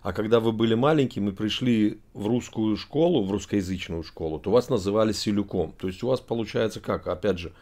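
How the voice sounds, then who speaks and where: Man in his forties, low voice, rapid talk, keeps interrupting, pushy questions, webcam in a dark room. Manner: fast, interrupting, pressing questions. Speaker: video chat roulette host. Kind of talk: questioning chat on video roulette. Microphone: webcam on video call.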